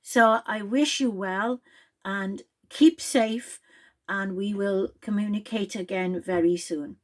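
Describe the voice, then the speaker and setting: A middle-aged Welsh woman, Welsh her first language, addresses a group straight to camera in English. She speaks slowly and deliberately, shaping each word clearly, at an ordinary mid pitch. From Wales. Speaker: project leader addressing participants.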